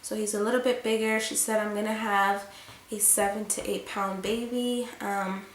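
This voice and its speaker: A woman's voice: mid-range voice, level tone, moderate pace, roomy sound, a little hiss or hum. A young woman giving a casual vlog update, her voice stuffy and nasal from a cold, chatting along with lots of fillers. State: congested from a cold. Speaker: vlogger.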